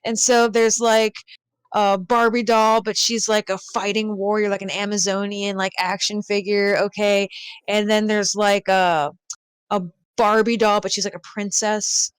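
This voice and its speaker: A woman with a clear, smooth voice talks as a guest in a casual chat. She explains things informally at a medium pitch, recorded dry and close with no room sound. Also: little pitch movement, measured pace, no echo. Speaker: talk show guest, dog expert.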